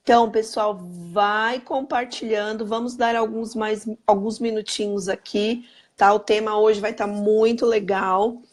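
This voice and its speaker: A hoarse woman with a Brazilian Portuguese accent, hosting a live stream in an upbeat way and greeting viewers by name. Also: mid-range voice, level tone, a little slow. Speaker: host.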